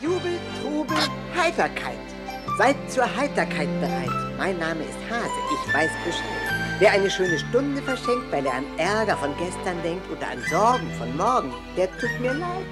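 An actor voicing a cheeky, jaunty cartoon rabbit in a 1980s German dub, with a squeaky helium-like voice and stilted flourishes. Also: male voice, huge pitch swings, normal speed. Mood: jaunty, merry. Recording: loud music under the voice.

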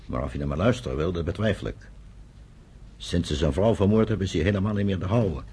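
An actor in a Dutch radio play voicing a stern, troubled elder brother who speaks gravely and with strong emotion. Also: male voice, deep voice, quick pace, warm tone, heavily acted. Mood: troubled, resigned.

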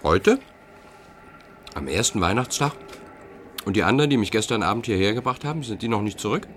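An actor in a German radio play as a reserved, precise foreign man on the run, speaking in a measured, formal way. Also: fairly low pitch, animated, a bit bright, a little hiss or hum. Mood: probing. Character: reserved, precise foreign male fugitive among car thieves.

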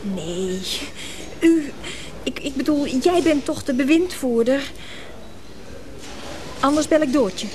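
Radio drama casting: a needy, prim mistress, high voice, plaintive and hesitant, clear background noise. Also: female voice, animated, takes it slowly, pauses often, dark tone. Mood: indignant. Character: needy, prim, persistent mistress seeking money. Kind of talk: naturalistic Dutch radio drama acting. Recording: noisy radio drama recording with background ambience.

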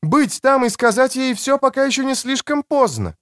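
Man putting on a high-pitched, tender mature woman. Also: expressive, normal speed, a bit bright, dry recording, studio quality. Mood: insistent, caring. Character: elegant mature woman doctor, tender, frank and gently teasing. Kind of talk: audiobook character dialogue.

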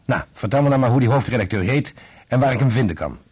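An actor playing an indignant young conductor in a radio play, speaking in an insistent, combative, clipped way. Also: male voice, medium pitch, animated, quick pace, a little mellow, husky. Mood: brisk. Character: indignant young conductor defending his dead father's name. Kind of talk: Dutch radio play acting.

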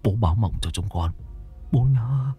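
A man voicing a greedy son who murmurs a prayer to his dead father in a low, hushed whisper. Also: expressive, moderate pace, breathy edge, soft background music. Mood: pleading murmur. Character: grieving greedy son praying at an altar.

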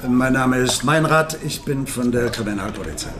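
An actor playing a polite, persistent police detective in a radio crime drama, probing in slightly hesitant officialese. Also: male voice, medium pitch, moderate pace, background noise, lightly acted.

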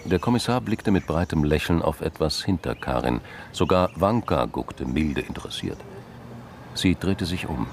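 Deep, gravelly male narrator. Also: expressive, moderate pace, a little mellow, slight background noise. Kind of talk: scripted narration of a crime radio play.

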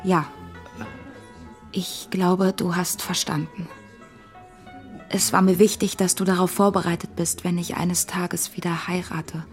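Actress as gently firm, reluctant woman. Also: fairly low pitch, little pitch movement, unhurried, long pauses, soft background music, natural voice. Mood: pained, firm. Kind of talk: German radio play acting.

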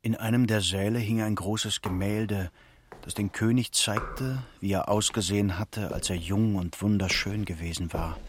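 A man narrating a literary radio drama in a measured, solemn manner. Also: lowish voice, noisy background, close to an everyday voice.

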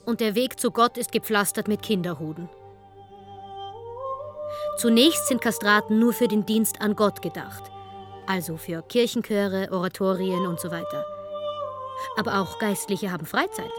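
A woman's voice: medium pitch, sing-song, moderate pace, long pauses. Wry woman narrator over music. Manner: wry, sardonic. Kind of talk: scripted documentary narration for radio.

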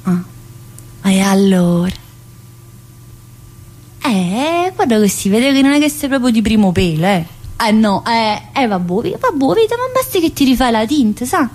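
A restless, teasing middle-aged woman inmate, talking in an earthy stream of asides and fillers. The voice sits a little high for a woman. It is a one-woman theatre monologue. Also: very animated, a little slow, long pauses, a little mellow. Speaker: stage actress in a one-woman play.

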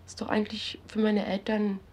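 A woman speaking thoughtfully and in measured phrases, searching for words as she reflects. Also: medium pitch, completely flat delivery, a little mellow. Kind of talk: reflective interview talk.

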